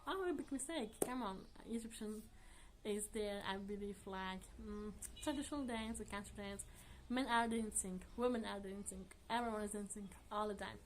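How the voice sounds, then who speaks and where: A woman vlogger chatting casually to her viewers in earnest, non-native English, often laughing softly. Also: mid-range voice, normal speed, slight background noise, poor audio. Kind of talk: casual chat to camera.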